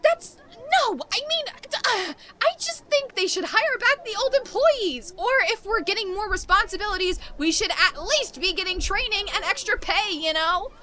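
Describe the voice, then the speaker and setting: A woman's voice: very high-pitched, lively intonation, moderate pace, few pauses, bright, forceful tone, a little hiss or hum, exaggerated voice. A woman playing a flustered, overworked young amusement park employee in a comedy audio drama, indignant and stammering as she pleads her case. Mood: flustered, pleading.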